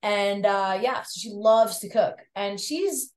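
A woman's voice: mid-range voice, slow pace, darkish tone. A woman from Long Island with a faint Long Island accent, chatting as a podcast guest and saying "like" a lot. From Long Island, New York. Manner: chatty, heavy use of "like". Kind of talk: casual interview chat. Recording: roomy echo.

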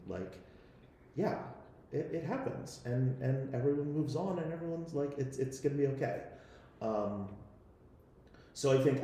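A man speaking off the cuff on a panel, conversational and halting, often repeating himself. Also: on the low side, mellow. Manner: halting, conversational, frequent repetition. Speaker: panelist from a podcast team. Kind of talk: unscripted panel discussion. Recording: very echoey room.

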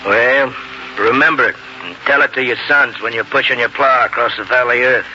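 A tough old-campaigner frontier militiaman, speaking in a very bright, cutting voice. His folksy, emphatic lines come through a dull, faintly noisy vintage radio recording. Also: medium pitch, a little fast. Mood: solemn, stirring. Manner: plain, rustic, emphatic talk. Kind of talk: 1940s radio drama acting.

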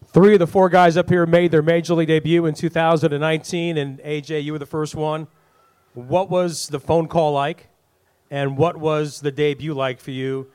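Guy hosting a fan panel, kinda high voice, jokes around between questions. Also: flat delivery, normal speed, a little mellow, clear, resonant tone. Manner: chatty, jokey questioning. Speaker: panel host.

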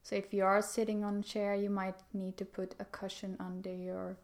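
Calm woman guiding meditation, even tone. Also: medium pitch. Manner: calm, gentle, unhurried with pauses. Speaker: meditation guide. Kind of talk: guided meditation instruction.

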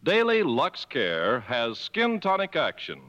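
A man announcing on a 1950s radio show with polished, emphatic salesmanship. His voice is bright and forceful and moves up and down in pitch, with a long reverb on the old broadcast. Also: wildly swooping pitch, slow, highs cut off.

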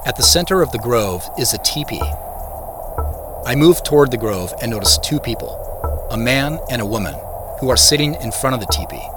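A man's voice: medium pitch, expressive, fairly quick, natural voice. An actor playing a reflective man who narrates his own story in a scripted first-person style. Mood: calm, wondering. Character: reflective man recounting his dreams and his search. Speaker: narrator and main character of an audio drama. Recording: music playing behind the voice. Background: music.

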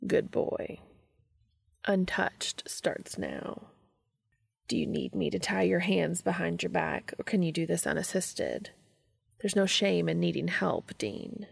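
A woman voicing a commanding, tender adult man in a romantic audio story, speaking low, slow and often in a whisper. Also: creaky, husky, heavily acted. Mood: hushed, commanding.

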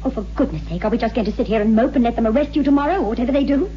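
An actress playing a troubled, coaxing wife in a 1960s radio horror drama, with a dramatic, foreboding delivery. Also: female voice, a little high, racing, a little hiss or hum, lightly acted. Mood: urgent. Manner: dramatic, foreboding narration and pleading dialogue. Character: troubled wife recounting a sinister tale, practical and coaxing. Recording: dull old radio recording, music behind.

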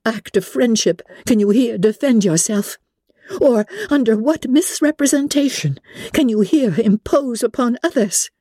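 A woman voicing an indignant, spirited young gentlewoman from a novel, speaking in formal, cutting, emphatic phrases. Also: a little high, measured pace, dry recording, voice pushed up.

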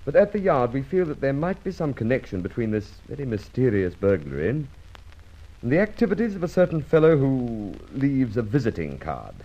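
An actor playing a polite, dogged, suspicious police inspector in an old radio drama, courteous and probing. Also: male voice, mid-range voice, sing-song, dark tone, dull audio. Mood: politely probing. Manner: courteous, probing, measured phrasing.

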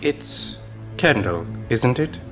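A man playing a composed, authoritative, quietly menacing officer in an audio drama. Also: mid-range voice, lively intonation, takes it slowly, music in the background. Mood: coolly commanding. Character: composed, commanding male officer of a menacing police pack.

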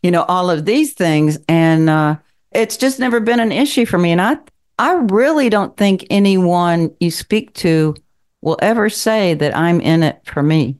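A woman's voice: fairly low pitch, animated, a little slow, a little mellow. A woman in her sixties from Kentucky, a guest telling stories in a chatty podcast conversation and laughing often. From Kentucky, Appalachian Mountains. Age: 60s. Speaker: guest, city council member. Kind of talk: casual chat.

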